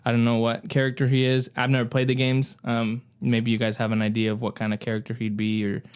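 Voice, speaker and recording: A man's voice: mid-range voice, completely flat delivery, quick pace, clean tone. A man hosting a podcast, chatting casually through news items. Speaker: podcast host.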